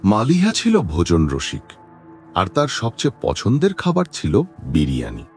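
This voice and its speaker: A man narrating a horror cartoon story slowly and with suspense. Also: on the low side, wildly swooping pitch, darkish tone, faint music behind.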